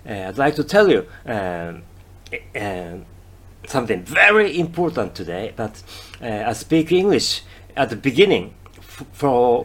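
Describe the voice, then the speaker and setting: A man in his fifties speaking English with a Japanese accent in a solo podcast, halting, with many fillers and restarts, stressing his key words. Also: mid-range voice. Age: 50s. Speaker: podcast host.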